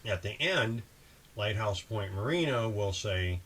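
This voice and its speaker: A man with a fairly low voice hosts a casual how-to talk on boating. He speaks conversationally and practically, as if walking a viewer through the steps. There is some ambient noise behind him. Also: unhurried. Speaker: host of a boating channel. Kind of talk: casual instructional talk with example radio calls. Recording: clear steady background ambient noise.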